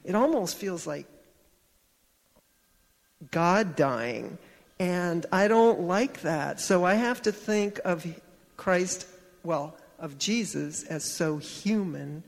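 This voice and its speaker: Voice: mid-range voice, lively intonation, slow, creaky, big-room sound. A person thinking aloud in a church group discussion, thoughtful and searching.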